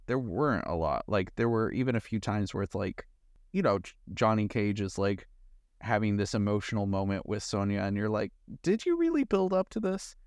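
Male podcast co-host, slightly low voice, dry close sound, casual analytical film chat. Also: big pitch swings, moderate pace, darkish tone, clear, resonant tone, dry recording. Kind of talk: casual movie discussion.

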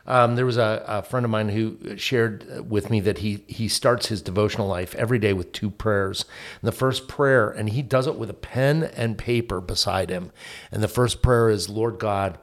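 A man's voice: on the low side, normal speed. A man on a faith podcast speaking reflectively, telling a story with hesitant fillers. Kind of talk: casual faith podcast chat.

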